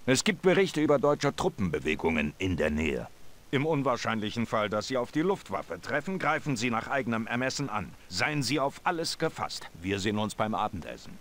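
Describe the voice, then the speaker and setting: An actor playing a commanding officer giving pilots a formal, clipped mission briefing. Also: male voice, fairly low pitch, sing-song, a little fast, a bit bright, a little hiss or hum. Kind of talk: game cutscene voice acting.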